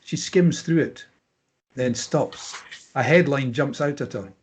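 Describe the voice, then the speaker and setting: A man with a husky voice reading scripted stage directions as narrator at a table read. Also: mid-range voice, slow, slight background noise.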